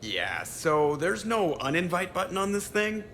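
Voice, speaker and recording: A flippant young starship pilot in a game. His voice is fairly high, his delivery casual and sarcastic. There is faint noise behind him. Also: very animated, measured pace, a bit bright, full character voice. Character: wisecracking, irreverent young male starship pilot.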